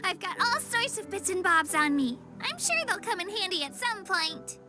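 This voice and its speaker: A woman's voice: very high voice, big pitch swings, moderate pace. A young woman playing an upbeat, helpful girl guide in a video game, speaking in a friendly, chatty, eagerly explaining way. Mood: cheerful, friendly. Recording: music behind the voice. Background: game music.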